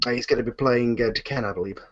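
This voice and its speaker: A man hosts a casual livestream in a hesitant, rambling manner. His voice is mid-pitched and comes over a dull, lo-fi video call, and he feels his way through the talk without a script. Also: fast. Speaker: host of a live online Q and A. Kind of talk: casual unscripted livestream hosting. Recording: muffled lo-fi internet video call.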